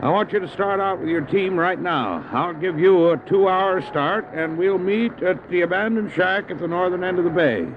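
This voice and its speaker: An actor playing a determined, devout doctor who drives a dog sled, in an animated, exclamatory audio drama style. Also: male voice, high voice, moderate pace, few pauses, background noise, natural voice. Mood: firm, concerned. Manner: animated, exclamatory, self-talking. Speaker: character who also narrates his story. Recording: dull old cassette drama, loud music.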